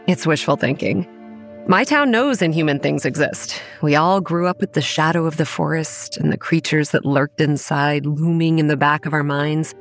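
A woman's voice: on the low side, lightly acted. Grave, haunted young woman an actress performing, music behind. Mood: brooding, resentful. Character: haunted, wary young woman running a monster-ridden campground. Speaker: narrator and lead character. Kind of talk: first-person horror audio drama narration.

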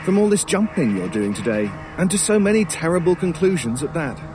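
An actor playing an urbane, sardonic crow in an audio drama, wordy and mockingly polite in a pompous way. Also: male voice, fairly high pitch, sing-song, brisk. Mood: sardonic, needling. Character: urbane, sardonic male talking crow, gleefully macabre scavenger. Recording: clear outdoor noise with cawing crows. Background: outdoor ambience, crows, vehicles.

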